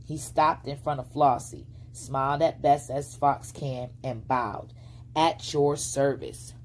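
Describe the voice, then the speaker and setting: Slow, very low-voiced woman storyteller. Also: fairly even intonation, darkish tone. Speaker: storybook reader. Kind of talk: read-aloud bedtime story narration.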